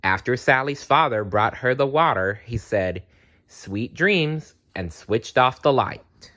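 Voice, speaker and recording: A man narrating a children's story aloud in an expressive storytelling style. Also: mid-range voice, a little slow.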